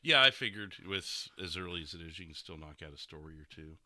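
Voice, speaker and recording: A man's voice: deep voice, animated, fairly quick, bright, forceful tone. A man hosting a podcast in casual chat, with hesitant, rambling phrasing. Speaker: podcast host.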